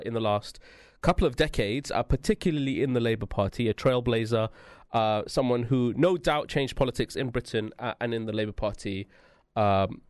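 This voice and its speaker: A male radio host opening a long-form political interview. He talks effusively but haltingly, with many hesitations. The recording is dry and close. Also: mid-range voice, dry recording.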